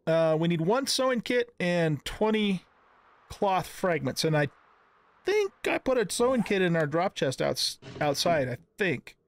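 A man's voice: high voice, big pitch swings, measured pace. A middle-aged man giving casual let's-play commentary, thinking aloud in a folksy, exclaiming way. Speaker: gaming channel host. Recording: clear background noise with clicks.

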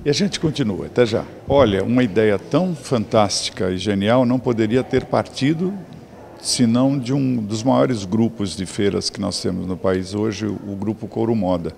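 A Brazilian man in his sixties hosts on-location interviews. His voice is gravelly and on the low side, his delivery effusive and full of praise, with light event noise around him. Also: normal speed, darkish tone. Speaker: TV show host and interviewer. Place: wood-panelled event lounge. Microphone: handheld microphone.

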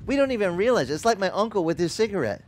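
A middle-aged man whose first language is Italian, preaching a sermon outdoors at a beach with a headset mic, in a lively, conversational style full of joking asides. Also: high voice, rapid-fire. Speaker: pastor.

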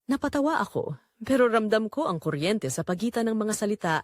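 A woman's voice: medium pitch, expressive, brisk, no room sound, studio quality. A woman narrating a first-person romantic drama in an intimate, dramatic way. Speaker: audio story narrator.

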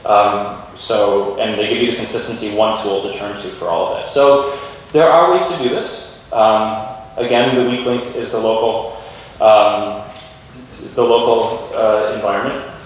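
A man's voice: lowish voice, fairly even intonation, a little slow. Guy doing an informal tech talk, super echoey big hall, mic sounds far away. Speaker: keynote speaker. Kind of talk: conference tech presentation. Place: large university lecture theatre with audience. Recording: echoey hall, distant mic, faint noise.

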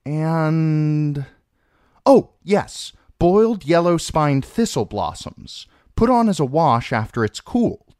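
Man acting earnest young girl, storybook pupil, careful recitation, raised lilting pitch, dry recording. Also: very animated, slow pace, darkish tone, dry recording. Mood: eager, recalling. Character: earnest young girl learning herbal healing.

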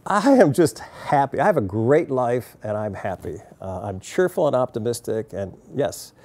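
A man's voice: medium pitch, moderate pace. A man in his fifties, a brewery chief executive, telling stories and answering questions in a sit-down interview in a taproom, gesturing as he talks. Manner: animated storytelling, hand gestures.

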